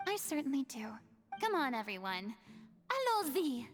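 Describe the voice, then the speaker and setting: A woman's voice: sits high, very animated, moderate pace, faint music behind. A woman voicing a theatrical young woman in a game, with a showy, dramatic way of talking. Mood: rousing.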